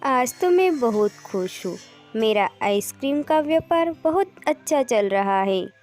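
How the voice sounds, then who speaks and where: A woman voicing a proud, greedy village ice cream woman in a cartoon moral story, expressive and self-satisfied. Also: a little high, unhurried, faint music behind. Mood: happy.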